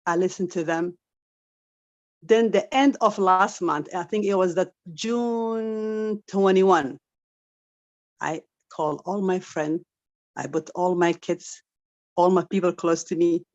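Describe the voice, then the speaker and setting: Woman, lowish voice, halting grateful testimonial, muffled call sound. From Somalia, raised in Saudi Arabia. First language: Arabic. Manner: halting, restarts often, grateful and earnest. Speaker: caller sharing a testimonial. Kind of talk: emotional testimonial on a video call.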